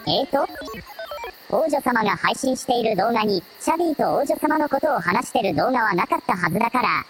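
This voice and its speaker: A woman playing a young male sidekick in an audio drama, husky, casual and matter-of-fact, with an analytical fanboy streak. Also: on the high side, very animated, brisk. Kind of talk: Japanese audio drama dialogue.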